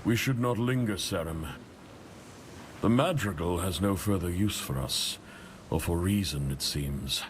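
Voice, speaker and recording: A solemn, slow-spoken city leader. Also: male voice, low-pitched, noisy background. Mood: urgent. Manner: solemn, measured speechmaking.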